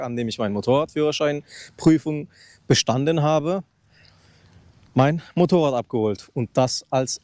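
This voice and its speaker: A man's voice: mid-range voice, darkish tone. A man in his thirties, chatting casually outdoors on a handheld mic held close to his mouth, rambling and restarting his sentences. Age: 30s. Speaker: motorcycle vlogger. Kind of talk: casual unscripted vlog. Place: outdoors beside a loud motorcycle. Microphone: handheld mic raised close to the mouth.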